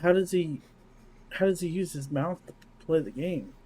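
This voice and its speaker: Mellow man chatting on a podcast. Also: fairly high pitch, moderate pace. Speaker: podcast co-host. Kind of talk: casual podcast chat. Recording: faint background noise.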